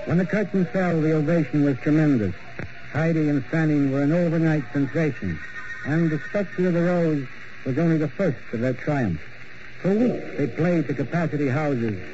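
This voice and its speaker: An actor playing a wistful storyteller, giving lyrical, portentous 1940s radio drama narration. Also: male voice, fairly high pitch, moderate pace, noisy background, natural voice. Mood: reverent, foreboding. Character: wistful male storyteller in a mystery tale. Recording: muffled old radio recording. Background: clear music.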